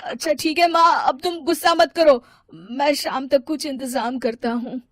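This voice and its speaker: A woman's voice: high-pitched, measured pace, noisy background, voice pushed up. A woman voicing a harried, dutiful working man who is apologetic and placating, in a cartoon moral story.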